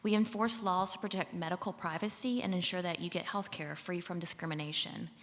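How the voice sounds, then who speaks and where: A woman's voice: fairly low pitch, fairly quick. Southern-accented woman presenting in a hall. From Mississippi. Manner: friendly, relaxed, with fillers. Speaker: government official giving a talk. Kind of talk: conference presentation. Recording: long hall reverb.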